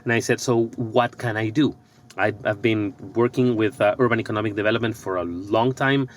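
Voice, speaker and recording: A middle-aged man from Ecuador, an architect and business coach, talking thoughtfully in a podcast interview, pausing and restarting his sentences often. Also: lowish voice, fairly even intonation, slight background noise.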